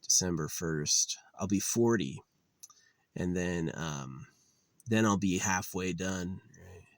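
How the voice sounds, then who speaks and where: A man's voice: low voice, slow, no room sound. A man in his late thirties musing in a rambling, weary monologue, with lots of fillers and restarts. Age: 30s. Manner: weary, resigned. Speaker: channel host.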